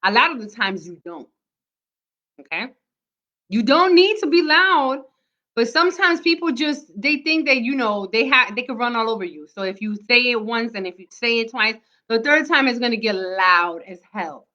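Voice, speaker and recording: A high, bright and forceful woman's voice talks straight to her viewers. She is loud and emphatic, giving motivational tips in a casual way and pausing with "okay?" after each point. The recording sounds dull and lo-fi. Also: lively intonation. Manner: emphatic, keeps asking "okay?". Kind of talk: casual motivational talk to camera.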